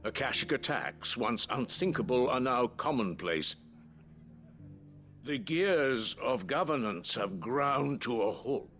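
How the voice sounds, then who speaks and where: An actor playing a formal, deferential chief steward of a noble estate in a fantasy game. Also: male voice, on the low side, fairly bright tone. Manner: formal, courtly and deliberate. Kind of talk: scripted fantasy game dialogue.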